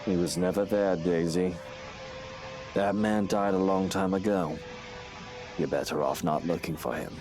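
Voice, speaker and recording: A man's voice: deep voice, steady tone, measured pace, pauses often, music in the background. A man voicing a cold, menacing, wealthy man in a video game, bitter and dismissive. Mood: bitter, grim.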